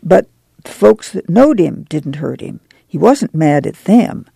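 A woman's voice: on the low side, moderate pace, warm tone, no echo, studio quality, lightly acted. A woman voicing an earnest, protective middle-aged farm mother, homespun and confiding, in an audiobook. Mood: earnest, pained. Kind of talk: audiobook character acting.

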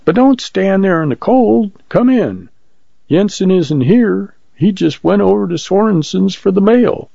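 Fretful, gossipy farm wife for a storybook, played by a man, raised pitch, dull close recording. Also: expressive, a little slow, vocal fry, mellow, dry recording, full character voice. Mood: anxious, fussing. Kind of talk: audiobook character voice.

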